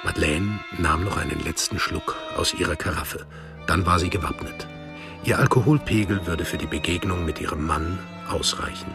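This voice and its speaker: A man's voice: low voice, normal speed, creaky, over music. A man narrating a radio drama from a script in standard German.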